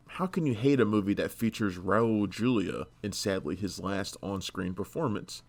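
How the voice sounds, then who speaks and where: A man hosting and narrating a scripted video essay in a snarky, opinionated, profane manner. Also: lowish voice. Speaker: host and narrator of film ranking video.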